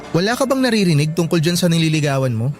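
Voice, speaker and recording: An actor playing a concerned young student friend in a Filipino radio drama, casual and hesitant, with a Filipino accent. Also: male voice, fairly high pitch, very animated, fast, darkish tone, soft background music.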